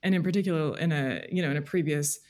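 A woman speaking as an interview guest, explaining things conversationally in a halting, careful way. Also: deep voice, darkish tone. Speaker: guest, freelance journalist. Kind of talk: thoughtful conversational interview answers.

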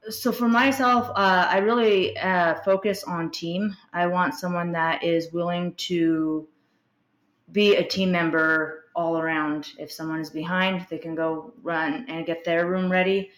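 A woman's voice: on the low side, fairly even intonation, measured pace, a bit bright, roomy sound. A woman who runs a spa, talking as a podcast guest in an earnest, hesitant way with many fillers. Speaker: med spa owner.

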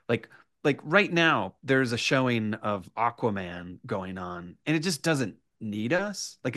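A low-pitched man in a dry, close recording, talking as a guest in a conversation. He circles back on his words and stacks short phrases for emphasis, like a performer explaining his craft. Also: fairly bright tone, no room sound. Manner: repeats words, builds points in short emphatic lists. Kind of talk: animated interview conversation.

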